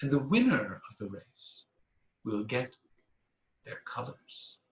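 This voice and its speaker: A man voicing a wise, kind female parrot who speaks slowly and pointedly. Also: medium pitch, big pitch swings, reverberant, highs cut off. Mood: wry. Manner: slow, measured, pointed.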